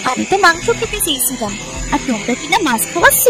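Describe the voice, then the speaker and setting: A woman voicing a spoiled, demanding young village girl in a cartoon, whiny and excitable. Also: high-pitched, quick pace. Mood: petulant. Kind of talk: cartoon voice acting. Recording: music under the voice.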